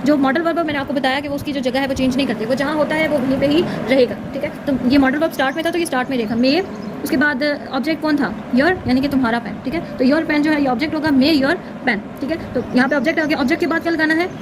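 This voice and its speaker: A woman's voice: sits high, noisy background. A woman giving a grammar lesson as a teacher, explaining step by step in an instructional way with carefully enunciated examples. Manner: instructional, slowly enunciates example sentences, frequent check-in tags.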